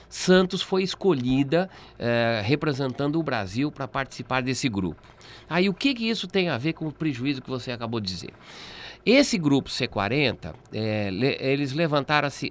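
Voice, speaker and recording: A man with a Brazilian accent giving explanatory interview answers in a didactic way, often checking with tag questions. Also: mid-range voice. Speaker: interviewee, port expert.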